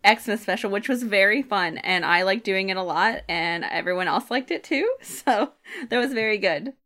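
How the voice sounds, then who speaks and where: Female podcast co-host, mid-pitched, casual and chatty, given to joking. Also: moderate pace, pushed and bright.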